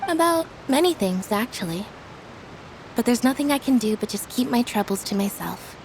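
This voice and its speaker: A woman's voice: fairly high pitch, expressive, normal speed, a little hiss or hum, poor audio. A woman playing a reserved, melancholy young woman in a video game, speaking in a subdued, wistful, brooding way.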